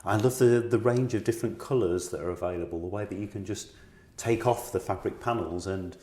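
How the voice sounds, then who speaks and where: Low-voiced male interviewer in an echoey room. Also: a little fast, dark tone. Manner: appreciative, agreeable, frequently affirming. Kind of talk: conversational interview. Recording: roomy echo on the voice.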